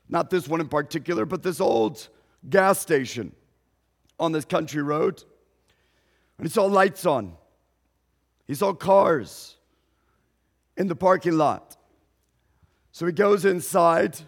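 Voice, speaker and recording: A male pastor, telling a story in a sermon slowly and deliberately, raising his voice at dramatic moments. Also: high voice. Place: church sanctuary. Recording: long hall reverb.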